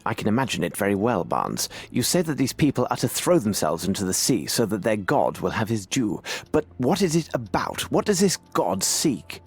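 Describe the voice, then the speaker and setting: Grave gentleman detective, low-pitched, authoritative, expressive intonation, video game drama. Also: normal speed, few pauses, breathy edge, soft background music, exaggerated voice. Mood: intent, grave.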